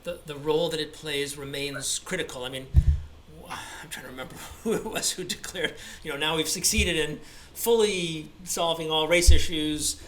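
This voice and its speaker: A man's voice: a little high, moderate pace, fairly bright tone, slight background noise, low-quality recording. A man interviewing a guest over a video call, asking long, rambling questions with eager interjections and restarts. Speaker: interviewer, conductor.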